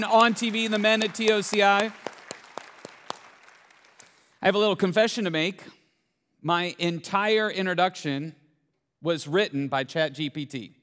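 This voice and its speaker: Male pastor, forties, high voice, energetic and playful, rhetorical questions, reverberant church hall. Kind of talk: sermon. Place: large church auditorium.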